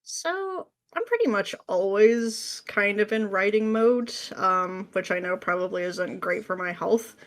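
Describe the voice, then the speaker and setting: A woman's voice: mid-range voice, expressive, unhurried, hardly any pauses, a bit bright, a little hiss or hum. A woman chatting casually as an interview guest, answering questions in an informal, self-deprecating way. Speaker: interview guest, script writer.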